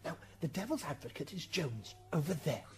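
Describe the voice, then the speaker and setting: A woman's voice: low voice, sing-song, moderate pace, darkish tone. A woman playing a wry, fussy, easily flustered defence advocate in heaven's court for a radio drama. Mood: wry, confiding. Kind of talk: amateur radio drama acting.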